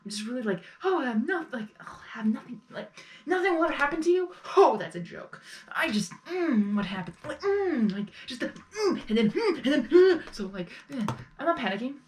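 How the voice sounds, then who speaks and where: A woman giving a casual live reaction as host, excitable and thinking aloud in fragments. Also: high voice, a little fast. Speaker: host reacting to an audio drama.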